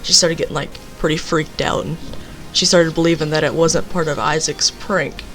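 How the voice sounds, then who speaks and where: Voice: mid-range voice, fairly even intonation, normal speed, fairly bright tone, faint music behind, rough recording. A young person telling a casual, rambling story, leaning on filler phrases. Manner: rambling, repeats filler phrases like "basically" and "you know".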